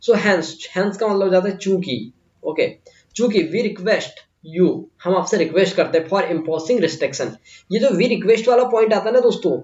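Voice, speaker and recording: A man's voice: high-pitched, darkish tone. A man with an Indian accent giving a step-by-step English exam lesson as a teacher, repeating phrases and asking himself questions as he instructs. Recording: muffled, echoey room.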